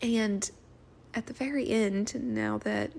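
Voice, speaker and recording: A woman's voice: medium pitch, steady tone, slow, creaky, a little mellow. A woman recording a listener voice message with reflective fan commentary.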